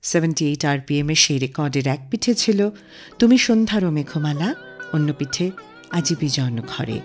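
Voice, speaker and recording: Woman radio presenter, scripted storytelling, slightly low pitch, highly expressive range, light music bed. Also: normal speed. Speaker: radio show host. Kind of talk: scripted storytelling narration about a singer's life.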